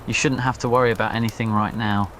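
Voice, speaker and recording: A man voicing a devoted, protective young wizard boyfriend in an audio roleplay, quiet, tender and reassuring. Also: lowish voice, steady tone, fast, a little hiss or hum, lightly acted. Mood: warm, caring.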